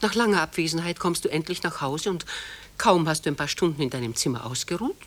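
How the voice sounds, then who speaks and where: A woman's voice: sits low, lively intonation, fast talker. Plaintive, doting elderly mother. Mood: hurt, reproachful. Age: older. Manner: tender, plaintive, reproachful, probing. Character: elderly, still beautiful, possessive, anxiously doting mother. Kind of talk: German radio play acting.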